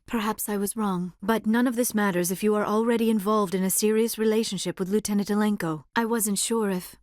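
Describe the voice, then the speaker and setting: Casting: actress for a female alien in a sci-fi game, gentle and hesitant, mid-range voice, precise formal phrasing, earnest temper, dry studio sound. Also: mid-range voice, no echo, lightly acted. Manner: careful, formal diction with few contractions; hesitant, soft-spoken, earnest. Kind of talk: video game dialogue acting.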